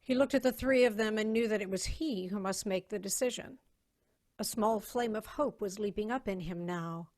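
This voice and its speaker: A woman's voice: mid-range voice, normal speed, darkish tone. Woman narrating a dramatic story. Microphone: video call.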